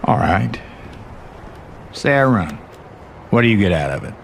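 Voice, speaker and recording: An actor playing a guarded, irritated senator who talks bluntly in everyday conversational tones. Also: male voice, on the low side, very animated, unhurried, gravelly, a little hiss or hum, heavily acted. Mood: wary, defiant. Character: wary, irritated male senator resisting pressure.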